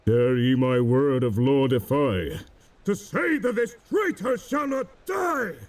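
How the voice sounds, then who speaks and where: A man's voice: mid-range voice, expressive, takes it slowly, a little mellow, voice pushed up. A man voicing a proud, wrathful male admiral in a dramatic verse story, roaring and imperious. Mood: defiant. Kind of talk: dramatic poetry recital.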